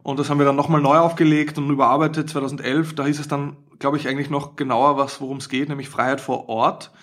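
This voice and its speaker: A man's voice: fairly high pitch, level tone, quick pace, fairly bright tone. A man with an Austrian accent, an academic guest explaining his work conversationally in an interview.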